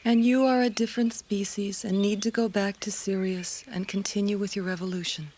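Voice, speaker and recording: A woman playing an earnest, gentle resistance heroine in a dramatised storybook. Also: mid-range voice, steady tone, fairly quick, close to an everyday voice. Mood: earnest, tender. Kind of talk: dramatised storybook audio acting. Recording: music behind the voice.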